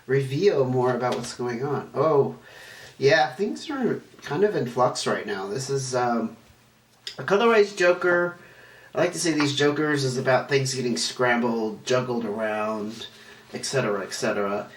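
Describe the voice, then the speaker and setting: A man's voice: mid-range voice, expressive, unhurried. A man with a stuffy, nasal voice from a cold, chatting casually through a tarot card reading and wandering as he talks. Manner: meandering, chatty, self-correcting. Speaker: tarot reader. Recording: echoey room, distant mic, faint noise.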